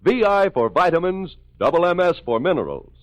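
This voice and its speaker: A man's voice: on the high side, big pitch swings, fairly quick, lo-fi sound. A man delivering an emphatic 1940s radio commercial as an announcer, on an old recording. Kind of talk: 1940s radio advertising pitch.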